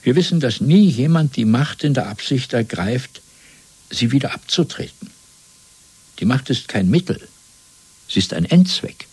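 A man playing a cold, fanatical party interrogator in a German radio drama, lecturing deliberately and domineeringly with a husky, breathy voice. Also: medium pitch, expressive, no echo. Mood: fervent, commanding. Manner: didactic, deliberate, swelling from hushed to emphatic.

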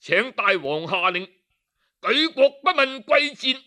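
A man's voice: sing-song, slow, pushed and bright. A man with a Cantonese accent telling a historical tale in traditional storytelling style, dramatic and sighing between phrases. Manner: dramatic, sighing, shifts pitch for quoted figures.

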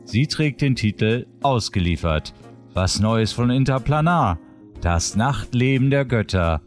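A man's voice: medium pitch, lively intonation, a little slow, soft background music. A man presenting a roundup of new releases in scripted announcement narration.

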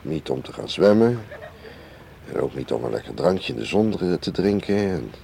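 An actor playing a suave, cagey foreign spy in a radio play, teasing and unhurried, with an ironic way of talking. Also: male voice, a bass voice, very animated, mellow, exaggerated voice. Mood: dry teasing. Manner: ironic, leisurely, ends remarks with questioning tags. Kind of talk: radio drama acting. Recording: clearly hissy old radio play recording.

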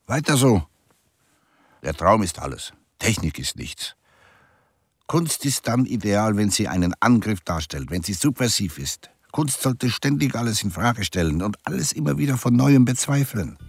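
An actor playing a brooding male artist, speaking measured, reflective lines in a literary radio drama. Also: lowish voice, sing-song, breathy edge, dry recording, heavily acted. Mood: emphatic. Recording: music under the voice. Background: music.

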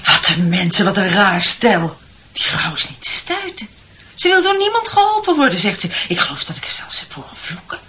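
Bright, outspoken gossipy housemaid. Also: female voice, mid-range voice, sing-song, normal speed, a little hiss or hum. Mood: indignant, gossipy. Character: outspoken, gossipy housemaid, respectful but nosy. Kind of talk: Dutch radio drama acting.

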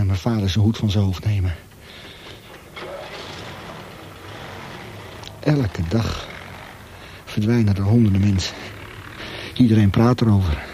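An actor playing a terrified imprisoned man in a Dutch radio play, reasoning with himself in a halting, hushed monologue with a breathy voice. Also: on the low side, dry recording. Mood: sombre.

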